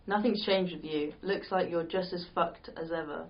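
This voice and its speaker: A woman's voice: fairly low pitch, fairly even intonation, moderate pace, natural voice. A woman playing a bitter, defiant fighter in an amateur sci-fi drama read, speaking bluntly and confrontationally. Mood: scornful. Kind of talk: amateur script table read. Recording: dull lo-fi recording.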